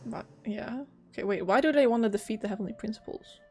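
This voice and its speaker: A woman's voice: fairly high pitch, fast talker, a little mellow, faint music behind. A woman streaming a video game, chatting casually and reacting to the story as it plays. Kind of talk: casual gameplay reaction commentary.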